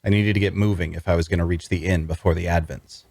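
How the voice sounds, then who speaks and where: A low-pitched, closely recorded man performing as a wry necromancer storyteller. He narrates a dark fantasy audio drama in dramatic first person, sounding queasy and sardonic. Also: fairly even intonation, fast talker, gravelly, dry recording, studio quality. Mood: wry, suffering. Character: wry, queasy male necromancer telling his own tale.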